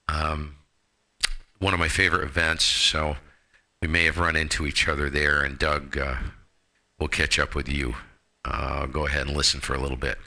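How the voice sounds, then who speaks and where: A man's voice: rumbling low, bright, forceful tone. A man chatting casually as a ham radio operator and host, in an informal, rambling way. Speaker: amateur radio operator and live stream host.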